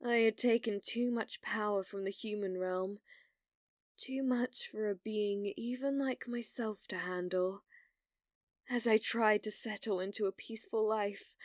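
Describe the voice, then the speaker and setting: A woman's voice: medium pitch, no room sound, clean studio sound, lightly acted. A woman playing a delusional, obsessive young woman who believes she is a reincarnated demon lord, speaking in grand, archaic phrases in an ASMR roleplay. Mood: sorrowful. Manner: grandiose, archaic, theatrical phrasing with flustered stammers.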